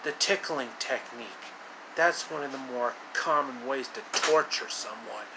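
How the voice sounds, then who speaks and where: A man voicing an imperious, gloating villainous queen from a storybook, speaking deliberately. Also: medium pitch, noisy background, natural voice.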